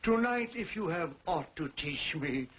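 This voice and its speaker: An actor playing a fearful, remorseful old miser in theatrical, pleading 1940s television drama style. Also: male voice, fairly high pitch, animated, measured pace, slight background noise. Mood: humbled. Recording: old 1940s television soundtrack, loud music behind.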